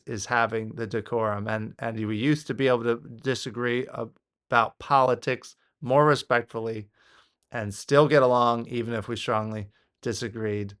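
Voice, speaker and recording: An American man reacts off the cuff as a political commentator. His voice sits at a medium pitch, and he talks haltingly, circling back over his points with exasperation. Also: a bit bright, smooth.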